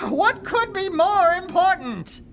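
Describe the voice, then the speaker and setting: Woman playing an exasperated, animated boss lady. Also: very high-pitched, slow, exaggerated voice. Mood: exasperated, indignant. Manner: clipped, indignant, sarcastic. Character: exasperated, sarcastic woman boss of museum staff. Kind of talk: audio drama acting.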